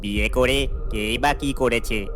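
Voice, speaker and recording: A man's voice: medium pitch, fairly even intonation, measured pace, a bit bright, slight background noise, lightly acted. A man voicing a weary, henpecked middle-aged father in a cartoon, grumbling in protest. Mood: grumbling, resigned. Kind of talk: animated cartoon acting.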